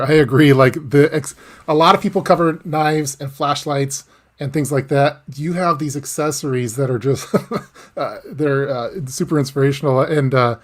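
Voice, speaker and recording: A man hosting a casual live interview, talking in a halting way with lots of restarts and small laughs. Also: mid-range voice. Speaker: host.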